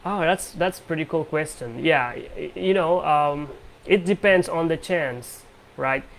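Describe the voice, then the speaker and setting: A man's voice: fairly high pitch, measured pace, gravelly, slight background noise, low-quality recording. A young man from Indonesia with an Indonesian accent, hosting a casual English practice video call and speaking hesitantly with many fillers. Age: young adult.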